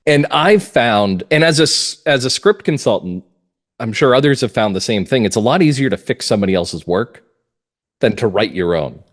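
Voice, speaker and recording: A man's voice: mid-range voice, lively intonation, moderate pace. A middle-aged man talking at length in a conversational interview, explaining things as a guest. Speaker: guest, mystery playwright and script consultant.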